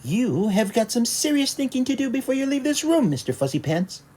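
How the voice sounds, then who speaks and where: Man putting on a high-pitched scolding mama cat. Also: big pitch swings, brisk, darkish tone, heavily acted. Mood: stern lecturing. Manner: emphatic lecturing. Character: scolding mother Siamese cat, female, exasperated and bossy.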